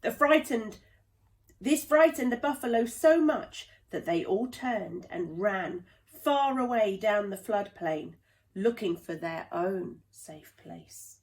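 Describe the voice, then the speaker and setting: Children's book narrator, female, mid-pitched, very unhurried and expressive delivery. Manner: slow, deliberate, dramatic storytelling. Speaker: play therapist reading a children's book.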